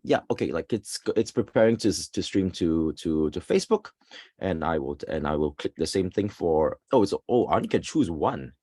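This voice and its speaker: A man in his thirties from Singapore hosting a podcast over a video call, halting with many fillers and restarts. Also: sits low, expressive, a little mellow, slightly rough, no room sound. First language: Singaporean English. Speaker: podcast host and association president. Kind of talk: casual live-stream chat.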